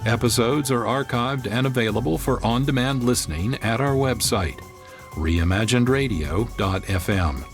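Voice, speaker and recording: A man hosting a radio programme with measured, scripted announcer-like narration. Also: mid-range voice, music in the background. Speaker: producer and host.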